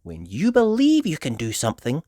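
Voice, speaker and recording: A woman's voice: sits low, huge pitch swings, normal speed, dark tone, dry recording, studio quality, pitched above the performer's own voice, exaggerated voice. A woman voicing a reassuring, encouraging mother pig in a children's storybook.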